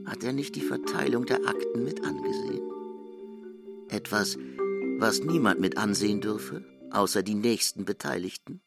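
A man's voice: on the low side, normal speed, creaky, over loud music. A man delivers dramatic scripted literary narration in a radio drama.

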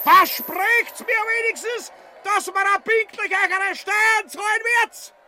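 A man's voice: extremely high pitch, slow. An actor playing a greedy, cowardly usurper king in a grotesque comedy radio play, declaiming and bellowing in an Austrian accent. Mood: grudging, bossy.

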